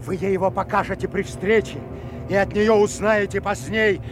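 A man's voice: high voice, fairly even intonation, normal speed, over music, close to an everyday voice. An actor playing an earnest, loyal nobleman in a theatrical audio drama, speaking urgently with formal stage diction. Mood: confiding, earnest.

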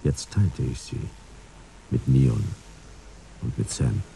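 Laconic detective narrator in sci-fi radio drama: extremely dark, gravelly, dry and terse. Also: male voice, fairly low pitch, sing-song, takes it slowly, slight background noise, exaggerated voice, rough recording. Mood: dry, detached. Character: laconic, world-weary male detective narrating his case. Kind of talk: scripted first-person noir narration in radio play.

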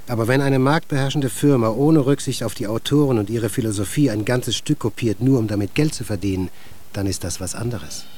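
An actor playing an embittered underground musician, speaking in a blunt, plain-spoken radio drama monologue. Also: male voice, medium pitch, a little mellow, close to an everyday voice. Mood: matter-of-fact. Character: embittered male underground musician defending his community. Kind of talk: German voice-over monologue.

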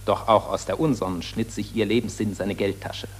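An actor playing an impassioned satirist who accuses warmongers and summons the war dead, declaiming solemnly in 1940s German radio drama style. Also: male voice, on the low side, fairly even intonation, moderate pace, slightly rough. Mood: grave grief.

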